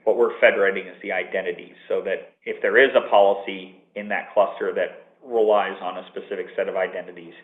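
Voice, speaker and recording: A man's voice: deep voice, fairly even intonation, a little fast, hardly any pauses. A man presenting a technical webinar from an office, explaining at length with fillers and restarts. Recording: tinny phone-line audio with room echo.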